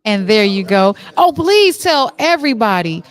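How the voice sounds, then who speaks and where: A woman hosting a backstage interview in an informal, animated and effusive way. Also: mid-range voice, moderate pace. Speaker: talk show host. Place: on location backstage after a stage play.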